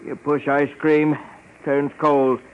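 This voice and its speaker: Casting: older jailbird street vendor, humble and jittery, stammering pleas, vintage radio crime drama, muffled and noisy sound. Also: male voice, fairly high pitch, little pitch movement, takes it slowly. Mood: nervous pleading. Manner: stammering, repeating himself, streetwise slangy speech. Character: nervous aging ex-convict street chestnut peddler, humble, pleading. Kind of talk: 1950s radio detective drama acting.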